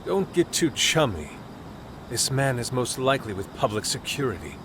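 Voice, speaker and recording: An actor playing a serious, formal young man in a video game cutscene, measured and dryly dramatic. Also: mid-range voice, very animated, background noise, natural voice. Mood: wary. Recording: music behind the voice.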